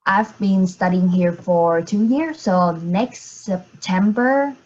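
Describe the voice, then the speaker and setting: A woman's voice: mid-range voice, slow, a little mellow, highs cut off. A young woman from Vietnam, a computer science student, introducing herself modestly and casually. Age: young adult.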